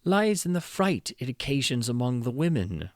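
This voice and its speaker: A man voicing a cunning, calm court dwarf jester who speaks in a quiet, smooth, scheming way. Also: mid-range voice, moderate pace, no room sound, clean studio sound. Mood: persuasive.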